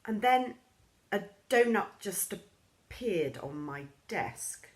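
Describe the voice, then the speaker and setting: A woman reading a children's story aloud in an expressive storybook style, acting out the characters' lines. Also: slow pace. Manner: lively, acts out characters' lines with raised pitch.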